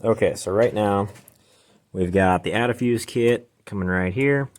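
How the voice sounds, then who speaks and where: A man's voice: lowish voice, unhurried. A man hosting a casual DIY garage vlog, talking informally through a hands-on job as he works. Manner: informal, talks while working. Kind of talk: casual hands-on how-to explanation.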